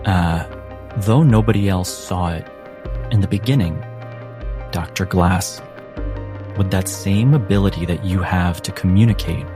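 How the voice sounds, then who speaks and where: A man narrating as game master in a dramatic, measured, suspenseful style with long pauses. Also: sits low. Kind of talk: dramatic second-person tabletop narration. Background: background music.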